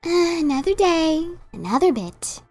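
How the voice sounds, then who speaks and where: High-pitched sweet cartoon pony mail mare played by a woman. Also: very animated, measured pace, darkish tone, soft background music, heavily acted. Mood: dreamy, excited. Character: sweet, bubbly pegasus mail-carrier mare, nervously cheerful. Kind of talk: dramatic reading of fan fiction.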